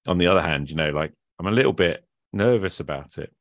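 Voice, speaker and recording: A man's voice: low-pitched, lively intonation, fast, no echo. A man speaking as a podcast host in casual, reflective conversation, thinking aloud and often qualifying himself.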